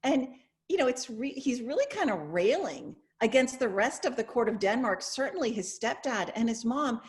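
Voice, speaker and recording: Higher-voiced woman hosting a discussion. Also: lively intonation, normal speed. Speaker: host, English professor. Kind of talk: reflective discussion talk. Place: remote video call. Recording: video call audio.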